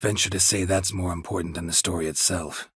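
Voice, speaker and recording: A male actor playing a stoic, world-weary monster hunter in a video game, terse and deadpan, with a low gravelly rasp. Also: fairly quick, no echo, clean studio sound, heavily acted. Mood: deadpan, businesslike. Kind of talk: video game character dialogue.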